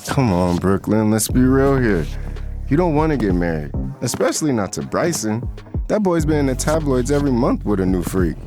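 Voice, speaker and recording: A man's voice: medium pitch, sing-song, moderate pace, natural voice. A man playing a brash young guy who taunts and sweet-talks a woman in casual slang, in an audio drama. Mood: taunting. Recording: music behind the voice.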